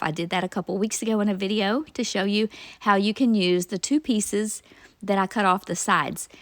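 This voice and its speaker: Woman, middle pitch, slightly nasal from a cold, chatty step-by-step craft voice-over, close and dry. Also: no echo. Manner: chatty, homey, step-by-step explaining. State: nasal from a cold, sore throat. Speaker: craft channel host. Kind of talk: casual craft tutorial voice-over.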